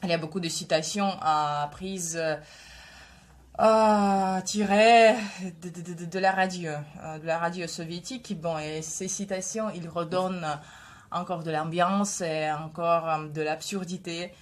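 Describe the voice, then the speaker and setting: A woman's voice: lowish voice, fairly even intonation, measured pace. A woman in her twenties, a native Russian speaker, talks hesitantly with many fillers while she has a head cold. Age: 20s. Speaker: vlogger. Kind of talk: casual video talk.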